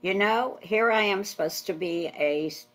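A woman's voice: medium pitch, moderate pace, a bit bright. An older woman whose first language is Hungarian, interviewing a guest over a video call from her home. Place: home living room. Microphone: laptop webcam video call.

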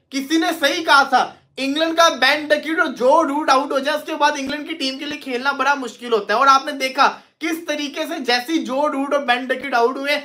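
A man with a Pakistani accent comments on cricket in a high-pitched, bright and forceful voice. He is emphatic and often repeats phrases to drive a point home. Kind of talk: animated cricket commentary to camera. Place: small indoor room.

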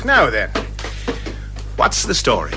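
An actor playing a jovial, boastful, garrulous Irish police inspector in a radio detective comedy. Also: male voice, mid-range voice, takes it slowly, over music. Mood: breezy, boastful. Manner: garrulous, excitable.

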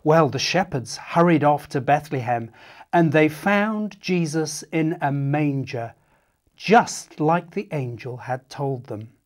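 Animated middle-aged man reading to children. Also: a little high. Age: 50s. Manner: animated, dramatic, deliberate, addressing young listeners. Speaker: children's author reading aloud. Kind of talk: expressive children's storytelling.

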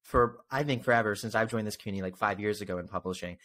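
A man's voice: fairly low pitch, very fast, fairly bright tone. A young man hosting a casual podcast interview, speaking close to a desk microphone. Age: young adult. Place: indoor room, on a video call. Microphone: desk microphone close in front.

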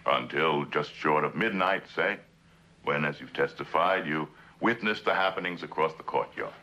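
An actor playing a shrewd, skeptical middle-aged district attorney in a 1960s TV drama, speaking formally and pointedly. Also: male voice, a bass voice, normal speed, sharp tone, muffled recording, full character voice. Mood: probing. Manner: formal, probing, pointed questioning. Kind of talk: 1960s television courtroom drama acting.

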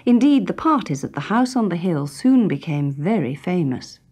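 A woman narrating a children's story in an expressive, clearly phrased way. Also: fairly low pitch, normal speed.

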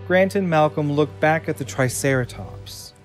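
A man's voice: on the high side, normal speed. A man reading scripted narration for an audio drama. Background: music.

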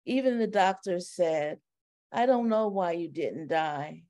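A middle-aged woman on a video call reading her own poem in a slow, solemn, measured way. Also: fairly low pitch, animated, warm tone. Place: home, indoors, video call. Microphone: webcam on a video call.

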